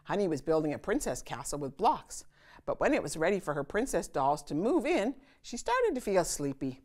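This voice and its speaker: A woman hosting a children's story time, reading aloud and pausing to chat with and question her young listeners. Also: lowish voice, very animated, darkish tone. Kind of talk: animated read-aloud of a picture book for children.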